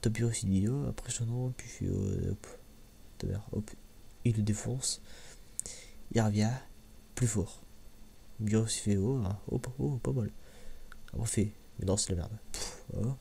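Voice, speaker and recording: Soft, low, creaky man, noisy recording. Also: moderate pace, long pauses. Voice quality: soft, hushed voice, sometimes near a whisper. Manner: hesitant, informal, slangy, often repeating words. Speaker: host of an anime review video. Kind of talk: soft-spoken ASMR commentary, casual and rambling. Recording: clearly audible background noise.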